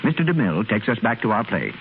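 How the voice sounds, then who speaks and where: A man's voice: on the low side, sing-song, fast talker, vocal fry. A man announcing and selling in the style of a 1930s radio show. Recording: muffled, hissy 1930s radio recording.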